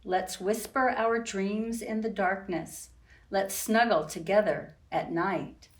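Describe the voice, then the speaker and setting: Slow-paced woman reading children's storytime. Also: medium pitch. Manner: expressive, addresses young children directly. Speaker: children's librarian and storytime host. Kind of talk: children's storytime read-aloud with sing-song rhymes. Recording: roomy echo, distant from the mic.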